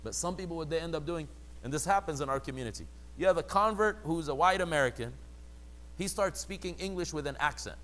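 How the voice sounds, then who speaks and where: A man's voice: fairly high pitch, expressive, quick pace, a bit bright. A man giving a conversational religious lecture, sometimes acting out quoted people in a mocking voice. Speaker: religious teacher.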